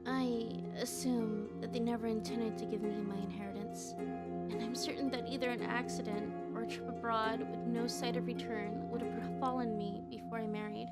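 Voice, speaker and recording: Woman acting high-voiced timid young heiress. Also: little pitch movement, normal speed, natural voice. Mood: bitter, resigned. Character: frightened, well-bred young heiress, earnest and timid. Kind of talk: comic dub voice acting. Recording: loud music behind voice.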